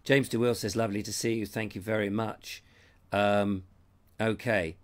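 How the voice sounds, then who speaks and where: A man's voice: fairly low pitch, fairly even intonation, moderate pace. A middle-aged English man hosting a live stream, chatty and rambling, his voice strained by a cold and fading out. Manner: rambling, chatty, reads comments aloud. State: has a cold, losing his voice. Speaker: show host. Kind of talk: live-stream chat reading viewer comments. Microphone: lapel mic.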